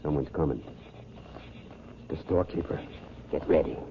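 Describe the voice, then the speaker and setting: An actor playing a cold, smooth, calculating young criminal in an old radio crime drama, commanding and self-assured. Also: male voice, rumbling low, moderate pace, background noise. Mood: menacing. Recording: muffled old radio recording.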